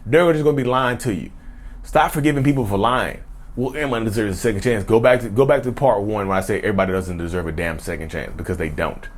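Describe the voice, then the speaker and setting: A man's voice: on the low side, fast talker. A man hosting a casual vlog rant, emphatic and slangy, who keeps addressing his viewers directly. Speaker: YouTube host.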